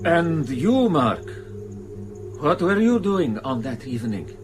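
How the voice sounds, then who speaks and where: An actor playing a courteous, measured foreign questioner who probes for details in a radio drama. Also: male voice, on the high side, sing-song, music in the background. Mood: probing.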